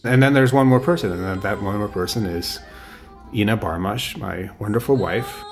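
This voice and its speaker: Chatty low-voiced man over music. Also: moderate pace. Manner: relaxed and chatty, with fillers. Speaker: bandleader and composer. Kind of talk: casual interview talk. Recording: voice with loud music behind.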